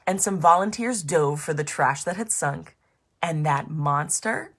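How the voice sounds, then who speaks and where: A woman reading and explaining a storybook for children in an expressive, animated way, speaking directly to young listeners. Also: low voice, unhurried.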